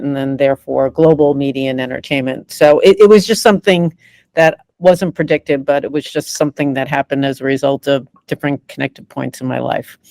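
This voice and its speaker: A woman's voice: deep voice, darkish tone, dry recording. A woman in her sixties, a guest in a podcast interview, telling stories reflectively with many fillers and restarts. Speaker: podcast guest, media executive. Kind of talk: conversational storytelling.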